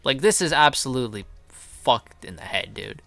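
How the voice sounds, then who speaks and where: A man's voice: mid-range voice, lively intonation, normal speed, vocal fry, a bit bright, dry recording, soft background music. A man giving unscripted, opinionated commentary in a blunt, indignant manner with emphatic swearing. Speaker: commentary channel host.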